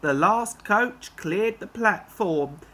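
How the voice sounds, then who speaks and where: Woman narrating a kids' storybook, slow and lively, bright tone. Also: medium pitch. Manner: slow, expressive. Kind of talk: children's story reading.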